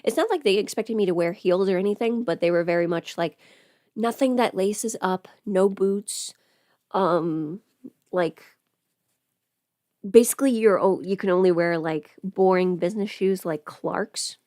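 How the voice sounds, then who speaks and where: A woman's voice: mid-range voice, a little slow, mellow, no room sound, clean studio sound. A woman livestreaming in casual, rambling chat as she does her makeup, informal and mildly sweary. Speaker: livestream host.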